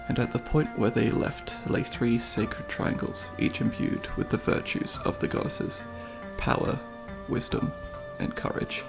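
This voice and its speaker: A man playing a devout, solemn monk elder in a fantasy ASMR roleplay, speaking in a measured, formal, reverent way with a breathy, creaky voice. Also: mid-range voice.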